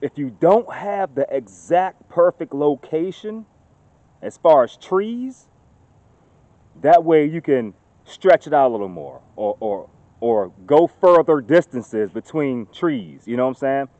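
A man in his thirties talking casually to a handheld phone camera outdoors in the woods, getting loud and excited as he shows off his work. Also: on the high side, a little slow, no room sound. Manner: chatty, gets loud and excited showing off. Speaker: outdoors vlogger. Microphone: phone camera held at arm's length. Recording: dull lo-fi phone audio outdoors.

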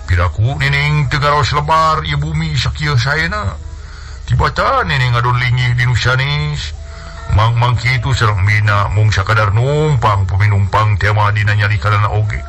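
A male storyteller playing a long-winded village uncle who lies smoothly to his niece. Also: medium pitch, normal speed, fairly bright tone, faint music behind, close to an everyday voice. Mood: wheedling. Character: lying middle-aged village uncle, smooth-talking.

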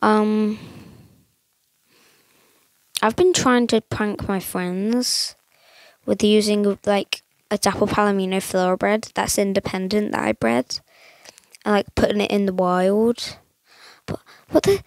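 A young woman chatting casually over a mobile game, rambling with lots of fillers, her voice a little croaky today. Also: medium pitch, steady tone, slow pace, pauses often, dry recording. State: slightly croaky voice. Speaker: gaming channel host. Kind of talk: casual gameplay commentary.

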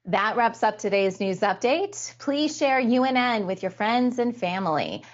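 A woman news anchor reading a scripted sign-off in a studio, bright and enthusiastic. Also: a little high, lively intonation, measured pace, highs cut off. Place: studio with city backdrop.

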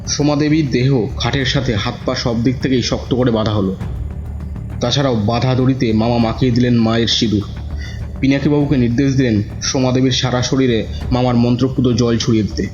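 A man's voice: medium pitch, steady tone, normal speed. Male horror storyteller over faint music. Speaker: narrator. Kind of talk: scripted horror story narration, in first person. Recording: dull audio with music bed.

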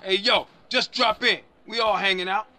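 Easygoing street pal; high voice, casual slang. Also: male voice, steady tone, moderate pace, sharp tone. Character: laid-back streetwise gang friend. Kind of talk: video game cutscene acting.

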